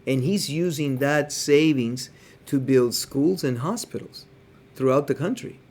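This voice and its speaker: Male podcast co-host from Cuba, mid pitch, earnest and opinionated, hedges his claims. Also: unhurried, darkish tone. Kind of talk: casual podcast chat.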